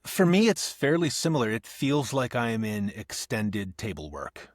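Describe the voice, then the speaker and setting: A man's voice: mid-range voice, sing-song, moderate pace, no echo. Man, podcast guest, actor and narrator, thoughtful, restarts often, dead dry sound. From Northeast Ohio. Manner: reflective, thinks aloud with restarts and soft laughs. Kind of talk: conversational podcast interview.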